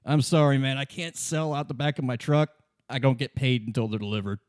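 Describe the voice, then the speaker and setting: Casting: a man as a laid-back lorry driver, middle pitch, apologetic, stumbling over his words, dry close sound, tabletop game. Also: fairly even intonation, brisk, darkish tone, no room sound, lightly acted. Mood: easygoing, apologetic. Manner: hesitant, stammering, casual. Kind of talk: improvised tabletop roleplay.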